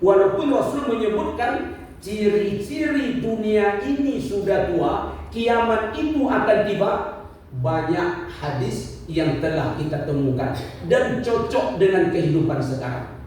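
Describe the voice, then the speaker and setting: A man's voice: high voice, animated, measured pace, darkish tone, slight background noise. A man in his fifties giving a religious lecture, asking rhetorical questions, repeating his points and joking lightly with the congregation. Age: 50s. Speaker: preacher. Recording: echoey hall from far off.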